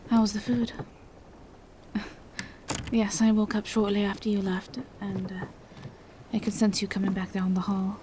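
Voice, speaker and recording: A woman voicing a gentle, bashful female vampire in an intimate roleplay audio, speaking in soft, hesitant half-whispers. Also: mid-range voice, level tone, moderate pace, long pauses, background noise, lightly acted. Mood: tender, teasing. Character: gentle, bashful female vampire in monstrous true form, lovestruck.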